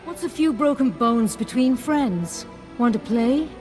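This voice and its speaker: A woman's voice: a little high, animated, measured pace, over music. A woman voicing a possessed female Jedi master who taunts with cruel, singsong glee in a video game. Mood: taunting.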